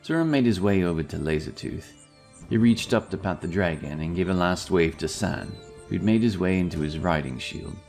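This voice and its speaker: A man's voice: low-pitched, lively intonation, normal speed, darkish tone. A man narrating a scripted fantasy story as a storyteller. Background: music.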